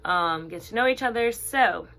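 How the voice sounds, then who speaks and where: A woman's voice: mid-range voice, animated, moderate pace, sharp tone. A young woman interviewing a friend in casual chat, laughing often and filling gaps with "um" and "like". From Zimbabwe. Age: young adult.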